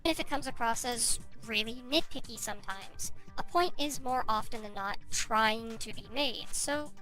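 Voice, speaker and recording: A woman's voice: on the high side, normal speed, sharp tone, faint music behind, poor audio. A woman giving casual video essay narration as an online commentary creator.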